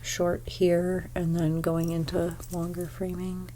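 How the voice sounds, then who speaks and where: A young woman with a stuffy nose giving an ASMR haircut role play as a hairstylist. She speaks softly, often slips into whispers, and makes gentle salon small talk. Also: fairly low pitch, monotone, slow, slight background noise, rough recording. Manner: soft-spoken, drifting into whispers.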